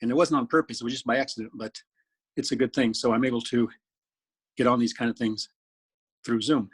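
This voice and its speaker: A man with a husky, slightly breathy voice at a middle pitch. He speaks in a relaxed, plain-spoken way, introducing himself as a club guest over a video call. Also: brisk.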